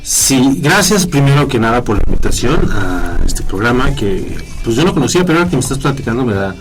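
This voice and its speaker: A man from southeast Mexico with a Mexican accent, a relaxed, joking radio guest with many fillers and restarts. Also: mid-range voice, a little fast, faint music behind, poor audio. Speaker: guest, tech podcaster. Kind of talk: radio interview.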